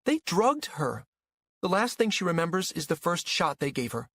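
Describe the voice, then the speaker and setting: A man's voice: high voice, sing-song, moderate pace, fairly bright tone, dry recording, pitched above the performer's own voice, exaggerated voice. A man voicing a gossipy woman in an audiobook story, confiding news in an emphatic way. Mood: astonished, dread.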